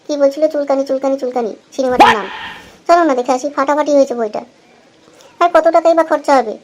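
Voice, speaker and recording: A woman's voice: sits high, fast talker, darkish tone, slight background noise, lightly acted. A woman voicing a nagging, easily annoyed young wife in an amateur comedy cartoon. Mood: pleading.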